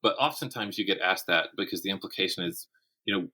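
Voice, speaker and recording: An American man in his fifties answering interview questions conversationally, thinking aloud in a candid, animated way. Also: low voice, quick pace. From South Carolina, United States. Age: 50s. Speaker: interview guest, horror writer and teacher.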